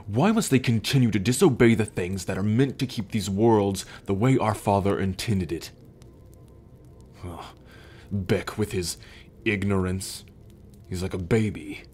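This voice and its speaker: Man acting a haughty, slow, deep sun god. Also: a little mellow, husky. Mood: exasperated. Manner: haughty, scornful, formal, sighing. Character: arrogant male sun god, imperious brooding captor husband. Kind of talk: ASMR roleplay audio drama. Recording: background music under the voice.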